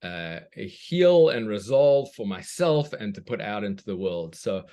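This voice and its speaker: Male guest from South Africa, forties, lowish voice, reflective and searching, loose conversational delivery. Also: big pitch swings, measured pace, mellow. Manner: thoughtful, searching, with frequent restarts. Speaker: guest, children's book author.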